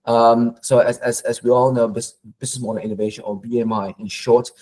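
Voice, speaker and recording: A middle-aged man from Malaysia, a professor answering interview questions in a thoughtful way, often pausing and repeating words as he goes. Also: mid-range voice, flat delivery, darkish tone.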